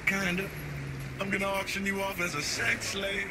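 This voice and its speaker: A man's voice: high-pitched, monotone, normal speed, heavy background noise. An American man voicing a flamboyant, brash middle-aged pimp in a video game, with slangy swagger and an electronic edge to his voice. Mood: cocky. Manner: slangy, swaggering street talk. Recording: music behind the voice.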